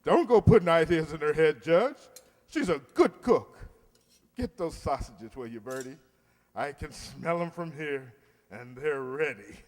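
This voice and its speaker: An actor playing a pompous, fussy middle-aged uncle in an old-time radio comedy. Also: male voice, fairly high pitch, animated, a little slow. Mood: jovial. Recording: echoey hall stage recording.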